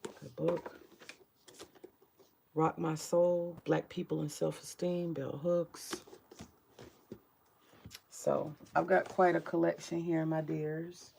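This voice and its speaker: A woman with a deep morning voice chatting casually about her books, rambling, swearing freely and muttering to herself as she searches her shelves. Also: flat delivery, takes it slowly, gravelly, pauses often, a little mellow, slight background noise. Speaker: vlogger sharing her book collection. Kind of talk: casual home vlog chat.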